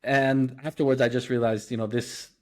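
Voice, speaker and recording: A middle-aged man from Guatemala speaks as an interview guest, earnest and explanatory. His voice sits at an ordinary middle pitch. He often restarts phrases and leans on fillers while he thinks. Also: little pitch movement, normal speed. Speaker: guest, company co-founder. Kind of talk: conversational interview.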